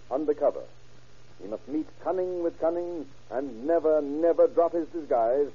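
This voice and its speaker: A man's voice: a little high, husky. A man narrating a radio drama from a script in a grave, formal, dramatic 1930s style. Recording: thin, phone-like 1930s radio recording, music.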